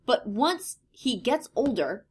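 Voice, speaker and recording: A woman in her thirties co-hosting a podcast in casual chat, with a lower-pitched voice that slips into vocal fry and a thoughtful, hesitant way of talking with many ums. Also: sing-song, takes it slowly, darkish tone. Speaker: podcast co-host.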